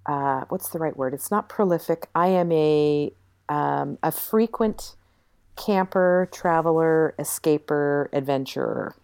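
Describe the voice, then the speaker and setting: A middle-aged woman speaks as a podcast guest in a relaxed, measured way, sometimes pausing to find the right word. Her voice is very low and smooth. The recording is dry and close. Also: no room sound. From Portland, Oregon area. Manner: relaxed, measured, searches for words. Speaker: nurse midwife, podcast guest. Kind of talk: casual conversation on a call. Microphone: phone call.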